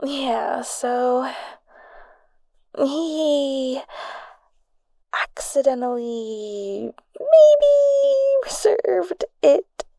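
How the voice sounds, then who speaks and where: Actress as anxious young female best friend in audio roleplay: high, mellow voice, halting, rambling, apologetic. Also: very animated, vocal fry, no room sound, heavily acted. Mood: nervous explaining. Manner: flustered, hesitant, stammering. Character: nervous, rambling young woman asking her best friend a favor. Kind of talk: audio roleplay acting, one side of a phone call.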